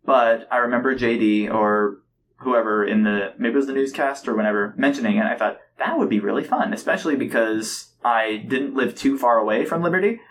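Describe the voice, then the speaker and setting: A young man hosts a casual podcast conversation in a mid-pitched voice. He speaks with enthusiasm and often feels his way through a sentence. Faint noise sits behind him. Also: brisk, fairly bright tone, rough recording. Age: young adult. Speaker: podcast host. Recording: noticeable background noise.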